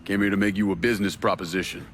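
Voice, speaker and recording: A hardened, determined American man from a video game. He speaks in a low register, curt and controlled, all business. Faint music sits underneath. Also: steady tone, racing, a bit bright. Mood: cool, determined. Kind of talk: video game cutscene acting.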